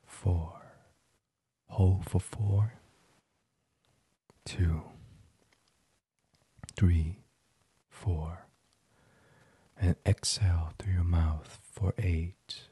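Very slow, deep, hushed man. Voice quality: breathy, hushed. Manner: gentle, soothing, half-whispered. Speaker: guided meditation host. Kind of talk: ASMR sleep meditation.

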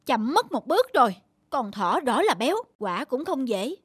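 A woman voicing a dramatic, boastful, guilt-ridden young male hunter in an animated folk tale, given to exclaiming and talking to himself. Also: high-pitched, moderate pace, slightly rough, heavily acted. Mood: determined. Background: music.

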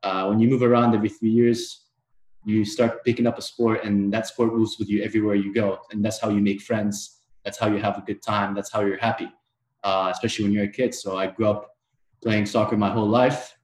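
A man from Tunisia with a lowish voice, telling his story as a podcast guest. His talk is relaxed and friendly. The audio has a roomy echo around it. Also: fairly quick. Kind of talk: casual podcast chat.

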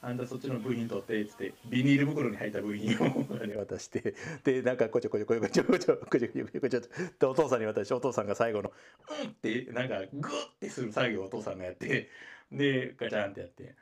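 Casting: Kansai male radio host, quick, slightly rough voice, anecdotal and comic delivery. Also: medium pitch. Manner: animated storytelling with acted-out dialogue. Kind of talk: casual comedic anecdote chat.

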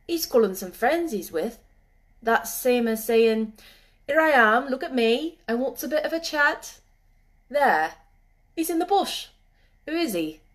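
A friendly, nature-wise moor boy as a woman narrator acts him out in a storybook. He speaks broad, earthy Yorkshire dialect with an easy assurance. The voice sits a little high. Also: very animated, measured pace, pauses often. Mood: friendly, assured.

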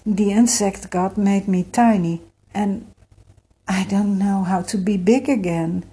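A woman's voice: medium pitch, fairly even intonation, slow, mellow, slightly rough, exaggerated voice. A woman playing an impatient, confused little boy in a storybook, plaintive and emphatic. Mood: pleading.

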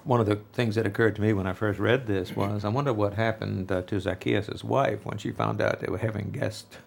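A man hosting a religious radio discussion, talking in a conversational, anecdotal way with a gravelly, husky voice. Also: on the low side, fairly even intonation, a little fast. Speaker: radio show host.

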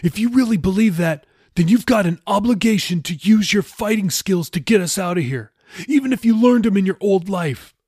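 A man's voice: sits high, animated, normal speed, darkish tone, slightly rough, no echo, clean studio sound, pitched above the performer's own voice. A man voicing a desperate young male captive sailor in an audiobook, pleading and agitated in slangy speech. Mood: agitated, accusing.

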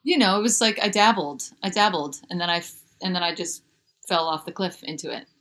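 A young woman speaking candidly as an interview guest, chatty with lots of fillers and restarts, her pitch jumping up when she gets animated. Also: a little fast. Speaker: author and musician guest.

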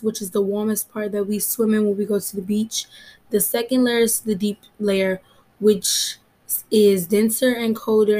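Hoarse young woman hosting a podcast. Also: medium pitch, a little mellow, poor audio. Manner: chatty, rambling, off-topic asides. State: hoarse from allergies. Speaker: podcast host. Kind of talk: casual solo podcast talk.

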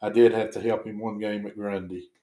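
A man's voice: lowish voice, flat delivery, a little fast, mellow, echoey room. A middle-aged man with a Southwest Virginia accent, a former basketball coach turned principal, giving short, plain-spoken answers in a casual interview. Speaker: retired basketball coach, now school principal.

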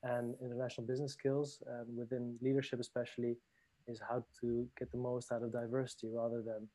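A man from France, a guest on a podcast interview, speaking thoughtfully with many pauses and restarts. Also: mid-range voice, monotone, warm tone, no room sound, low-quality recording. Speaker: guest, leadership trainer.

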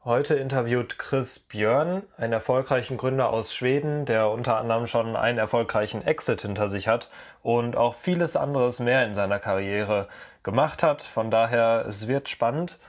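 A man's voice: medium pitch, normal speed. A man opening a podcast episode in an upbeat, welcoming way. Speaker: podcast co-host, intro announcer. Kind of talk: podcast intro.

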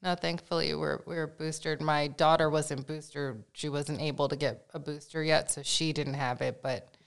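A middle-aged woman, a podcast guest, telling stories in a chatty, animated way. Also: sits low, normal speed, vocal fry, clear, resonant tone. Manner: chatty, animated storytelling with laughs. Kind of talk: casual conversational storytelling.

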